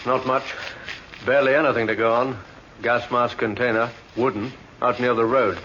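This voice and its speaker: A man's voice: mid-range voice, fairly even intonation, normal speed, fairly bright tone, natural voice. A man acting as a terse, sober police detective in an old radio crime play. His mid-range voice is matter-of-fact, on a muffled recording with faint noise. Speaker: police inspector.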